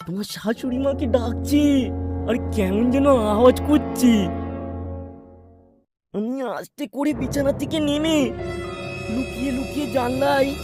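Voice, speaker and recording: A woman voicing an older, indignant but cautious village father-in-law in cartoon dialogue. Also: high voice.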